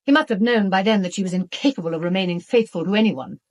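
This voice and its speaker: A woman's voice: medium pitch, fast talker, close to an everyday voice. An actress with a British accent playing a bitter, outspoken woman in a radio drama, blunt and emphatic. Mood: bitter, agitated.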